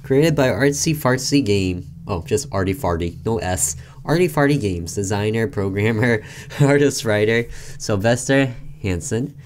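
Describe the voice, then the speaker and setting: A man's voice: on the low side, animated, unhurried, slight background noise. A man hosting a gaming video, reading a visual novel aloud and commenting casually.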